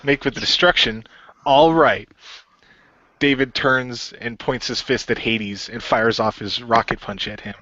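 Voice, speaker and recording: A man's voice: medium pitch, fairly even intonation, a little slow, sharp tone, no room sound, lo-fi sound. A man playing a role-playing game, mixing casual talk in character with improvised narration.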